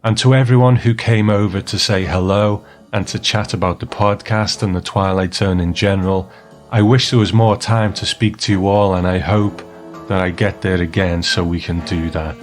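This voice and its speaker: A man hosting a podcast, narrating in a measured, appreciative manner from prepared remarks. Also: deep voice, steady tone. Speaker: podcast host. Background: music.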